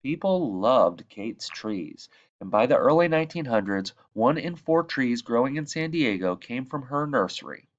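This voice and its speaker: A man reading a children's book aloud as a narrator, with measured, expressive phrasing and emphasis on key words. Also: medium pitch, muffled recording. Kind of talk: read-aloud storybook narration.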